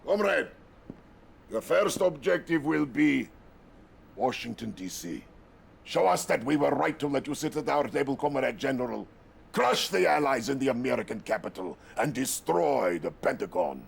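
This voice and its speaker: An actor playing a jovial, menacing Soviet premier in a game cutscene, theatrical and grandiose, with a Russian accent. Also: male voice, medium pitch, lively intonation, normal speed, vocal fry, slightly rough, exaggerated voice. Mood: commanding. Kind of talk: video game cutscene acting.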